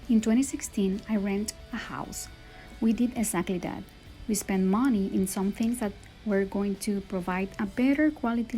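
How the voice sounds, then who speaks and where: Earnest Puerto Rican woman, mellow voice. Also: mid-range voice, poor audio. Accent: Puerto Rican Spanish. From Puerto Rico. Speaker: speaker. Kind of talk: personal story talk.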